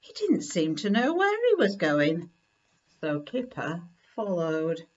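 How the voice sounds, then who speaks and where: A middle-aged woman reading a children's picture book aloud in a deliberate, expressive way at home. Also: fairly low pitch, dull audio. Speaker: story reader. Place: living room at home, indoors.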